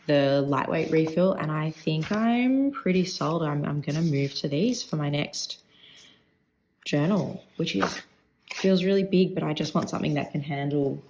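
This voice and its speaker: Croaky woman chatting about ink. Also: deep voice, a little mellow, slight background noise, low-quality recording. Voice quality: vocal fry. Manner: chatty, thinking aloud. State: croaky voice today. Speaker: stationery vlogger. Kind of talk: casual rambling review.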